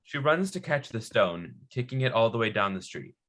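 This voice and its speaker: A man's voice: medium pitch, big pitch swings, normal speed, a bit bright. A man narrating script directions at a table read. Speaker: stage directions reader.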